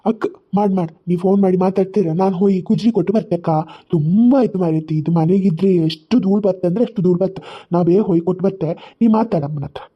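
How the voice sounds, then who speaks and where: A performer voicing a brisk, bossy younger sibling in a cartoon comedy, with a Kundapura Kannada accent, recorded in muffled audio. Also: medium pitch, slightly rough, close to an everyday voice.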